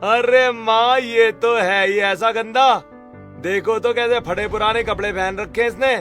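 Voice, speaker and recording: A man's voice: sits high, fairly bright tone. A man voicing an arrogant young show-off who sneers and drawls his taunts, in a cartoon story. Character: arrogant young brother-in-law, showy property dealer, mocking. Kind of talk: Hindi cartoon story dialogue.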